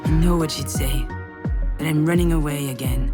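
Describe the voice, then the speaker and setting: A woman's voice: deep voice, fairly even intonation, normal speed, over music, natural voice. An actress playing an overwhelmed, sardonic woman in her thirties in a narrative game, hesitant and naturalistic. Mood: overwhelmed, bitter. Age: 30s. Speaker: protagonist of a visual novel. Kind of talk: naturalistic dramatic game voice acting, dialogue and inner monologue.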